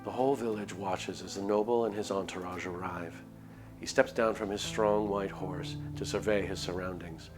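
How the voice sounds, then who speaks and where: A man streaming a visual novel, reading it aloud as measured, expressive story narration. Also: low-pitched. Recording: clear music behind voice. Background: background music.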